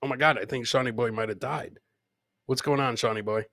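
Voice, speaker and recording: A man's voice: mid-range voice, steady tone, a little fast, background noise. A man hosting a live comedy podcast, chatting informally with viewers and swearing casually. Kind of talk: casual live show chat.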